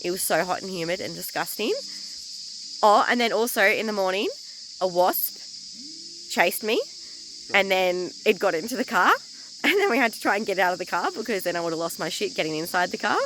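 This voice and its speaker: A woman's voice: medium pitch, lively intonation, fairly quick, a bit bright, slight background noise, rough recording. A woman with an Australian accent chatting frankly to camera about her caravan trip, rambling a little and losing her voice from illness. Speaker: travel vlogger. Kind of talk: casual chat to camera.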